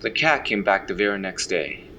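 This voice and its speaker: A man's voice: on the low side, lively intonation, moderate pace, pushed and bright, slight background noise. A man playing a questioning, complaining farm son in an audio drama.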